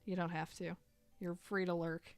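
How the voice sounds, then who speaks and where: A woman's voice: fairly low pitch, little pitch movement, normal speed, dry recording, soft background music. A woman in her thirties chatting casually while drawing on a live stream, rambling and filling gaps with "like". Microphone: desk microphone.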